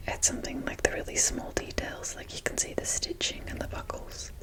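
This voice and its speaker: A young Australian woman whispers breathily, with hardly any voiced sound. She rambles casually in an ASMR style, as if showing items one by one. Her delivery stays hushed and informal. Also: a little fast. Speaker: ASMR creator showing a doll collection.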